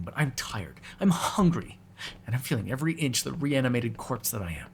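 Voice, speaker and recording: A man's voice: fairly high pitch, breathy edge, full character voice. A man voicing a hungry, cranky, flirtatious male vampire in an ASMR audio roleplay, sarcastic and given to swearing. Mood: exasperated. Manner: sarcastic, swearing, moody, shifting from murmurs to exasperated outbursts.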